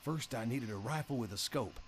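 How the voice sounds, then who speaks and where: An actor playing a wary, plain-spoken survivor, narrating a zombie-apocalypse escape in a scripted game cutscene. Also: male voice, medium pitch, a little fast, background noise, lightly acted. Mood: wary, determined. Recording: game audio under loud music.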